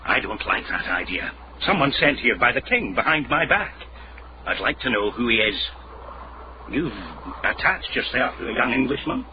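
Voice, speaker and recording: A man acts an older lord provost who is imperious and quick to threaten. His medium-pitched voice swings widely as he gives curt, pompous orders. It is muffled radio drama with noise behind. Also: sing-song, natural voice. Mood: commanding.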